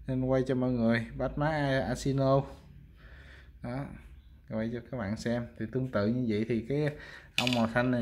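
A man's voice: medium pitch, background noise. A man with a Southern Vietnamese accent casually showing goods for sale, talking informally to viewers as friends. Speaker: fishing rod seller presenting products. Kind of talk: casual product showcase.

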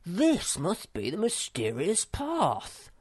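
A woman's voice: on the low side, wildly swooping pitch, slow pace, a little mellow, husky, dry recording, clean studio sound, voice pushed up, heavily acted. A woman voicing a cheerful, curious young male troll in a storybook reading, sounding eager.

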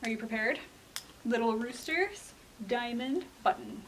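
A young woman storyteller giving an expressive, deliberate, emphatic dramatic read-aloud for children. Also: fairly high pitch, a little hiss or hum, low-quality recording. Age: young adult. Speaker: library storyteller. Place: indoor library room with bookshelves.

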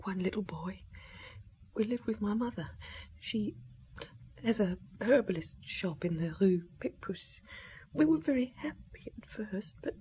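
An actress playing a grieving, bewildered young French wife in a radio drama, halting, breathy and tearful. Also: female voice, medium pitch, animated, mellow, a little hiss or hum, lightly acted. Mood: sad, halting.

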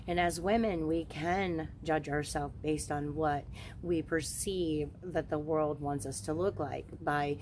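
Low-voiced woman in her forties. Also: slight background noise. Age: 40s. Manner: frank, relaxed, chatty. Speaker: witchcraft channel host. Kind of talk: casual to-camera vlog. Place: outdoors in leafy woods. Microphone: handheld phone camera at arm's length.

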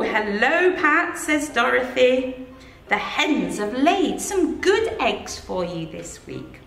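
Middle-aged English teacher, storybook read-aloud; bright, bouncy and unhurried, roomy echo with soft music under. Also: female voice, sits high. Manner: animated, sing-song, asks children questions. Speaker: head of early years, reading aloud to children. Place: in front of a green screen with book pages. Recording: echoey room, faint music behind.

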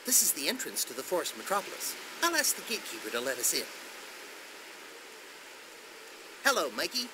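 Brainy bossy young man in a game, very animated brisk voice, noisy background. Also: a little high. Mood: confident. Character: eager, bossy young male scholar nicknamed Genius. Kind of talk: video game voice acting.

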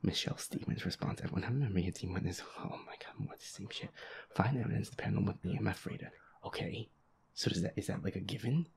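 A young man giving casual gameplay commentary on a horror game, swearing and muttering jitterily in a hushed, breathy voice. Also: lowish voice, fast talker, a little hiss or hum. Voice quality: breathy, hushed, often whispering. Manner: profane, jittery, muttering to himself and at the game's ghost. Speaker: gamer playing a horror game.

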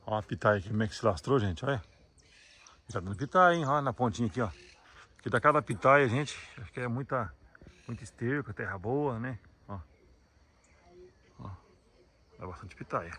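A man's voice: mid-range voice, lively intonation, normal speed, long pauses, pushed and bright, breathy edge. A man chatting casually to viewers as a vlog host, in a folksy way full of little check-in tags. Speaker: vlog host filming a visit. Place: visit to a rural house and its yard.

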